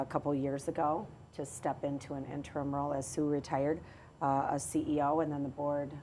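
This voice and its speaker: A middle-aged woman, an executive telling her career story in a podcast interview, measured and often pausing to restart. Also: very deep voice, darkish tone, slight background noise, low-quality recording. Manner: measured, with frequent hesitations and restarts. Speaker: water authority chief executive.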